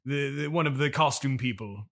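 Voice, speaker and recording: A man playing a pompous, bright-voiced stage thespian. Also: mid-range voice, moderate pace, lightly acted. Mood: sheepish. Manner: theatrical, haughty, swinging into pleading outbursts. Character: pompous, melodramatic classically trained male stage actor, bitter and desperate. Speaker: interview guest. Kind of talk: improvised comedy interview.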